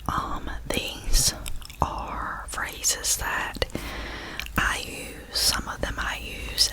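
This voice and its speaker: Slow-whispering Southern woman. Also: poor audio. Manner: soft unvoiced whisper, repeating words and phrases slowly. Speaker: ASMR creator. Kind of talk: whispered ASMR.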